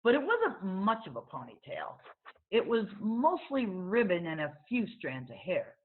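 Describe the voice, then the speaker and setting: Animated woman storyteller on thin audio. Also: medium pitch, unhurried. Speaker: read-aloud storyteller. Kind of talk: expressive children's book narration. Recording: thin, phone-like narrow-band sound.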